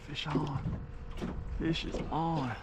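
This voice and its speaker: A man's voice: fairly high pitch, flat delivery, slow. A young man talking casually and slangily as he films a fishing vlog on a small open boat. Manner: slangy, short exclamations. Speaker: fishing vlogger. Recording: loud wind and boat noise, clicks.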